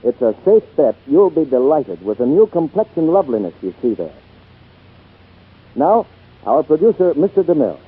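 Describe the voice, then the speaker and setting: A man's voice: on the high side, very animated, moderate pace, husky. A male radio announcer delivering scripted 1940s advertising in polished, emphatic sales patter. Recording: tinny 1940s radio broadcast.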